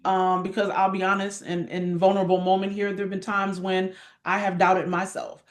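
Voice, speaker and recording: A woman's voice: medium pitch, flat delivery, moderate pace. Candid, emphatic woman interview guest. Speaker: arts guest, director and actress. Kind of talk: candid, conversational interview answer.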